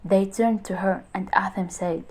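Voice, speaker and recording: A woman narrating a fantasy story in an earnest, soft-spoken way, as the channel's creator. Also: mid-range voice, steady tone, a little slow, husky.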